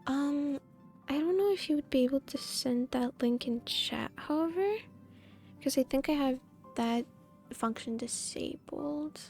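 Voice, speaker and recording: High-voiced, creaky, mellow woman streamer. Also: measured pace, soft background music. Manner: giggly, meandering. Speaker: live streamer. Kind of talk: casual game stream chat.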